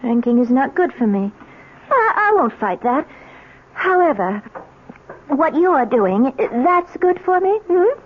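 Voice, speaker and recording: On a noisy, muffled old radio recording, a suspicious middle-aged wife with a fairly high voice. She is theatrical, pointed and bitterly sarcastic. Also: very animated, unhurried. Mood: bitter, sardonic. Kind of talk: old-time radio drama acting.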